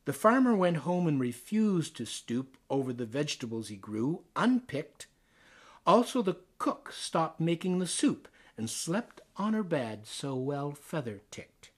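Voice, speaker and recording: A Canadian man, an actor, reading poetry aloud in a theatrical way with deliberate phrasing. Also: fairly high pitch, sing-song. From Ontario, Canada.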